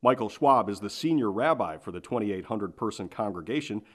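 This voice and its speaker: A male TV reporter reading scripted news narration in a measured, formal way. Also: lowish voice, expressive, fairly bright tone.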